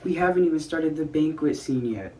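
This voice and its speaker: A man's voice: fairly high pitch, expressive, brisk, darkish tone, lightly acted, rough recording. A man playing a practical, polite actor in a 1940s radio play. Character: practical radio actor, also a courtly attendant.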